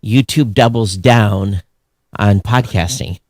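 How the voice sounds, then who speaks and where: A man's voice: lowish voice, slow pace, darkish tone, no echo, clean studio sound. An American man chatting casually and unscripted as a podcast co-host, in a rambling, conversational way. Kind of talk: casual unscripted show chat.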